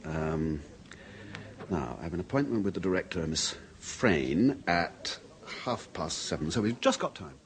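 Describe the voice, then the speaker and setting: A jittery broke writer, low voice, stumbles over words, old radio play. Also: male voice, expressive, a little slow, rough recording. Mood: nervous eager. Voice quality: stammering, hesitant. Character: nervous hard-up male freelance writer. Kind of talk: 1970s radio drama acting. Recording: old radio broadcast recording.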